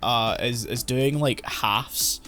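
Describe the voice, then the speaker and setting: A man talks over faint background music in a mid-pitched voice, meandering as he co-hosts an anime podcast. He circles his points with plenty of fillers and half-finished restarts, recorded at home during an online call. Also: slow pace, pushed and bright. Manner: rambling, lots of "like" and "um" fillers. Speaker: podcast co-host. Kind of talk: casual chat about anime.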